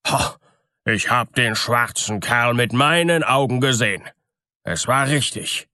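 A man's voice: medium pitch, a little slow, bright, forceful tone, dry recording, studio quality, voice pushed up. Man doing a blunt, blustering, gullible miller.

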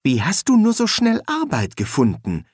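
A man's voice: high voice, wildly swooping pitch, moderate pace, darkish tone, no echo, studio quality, voice pushed up, exaggerated voice. A man voicing a sulky, questioning young woman in an audiobook. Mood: curious. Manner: sullen, questioning.

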